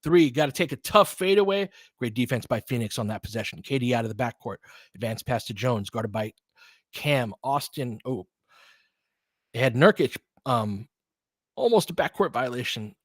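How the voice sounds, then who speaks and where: A man's voice: medium pitch, expressive, moderate pace, no room sound. A man giving informal live basketball play-by-play as a fan-facing host, opinionated and conversational, in clipped phrases.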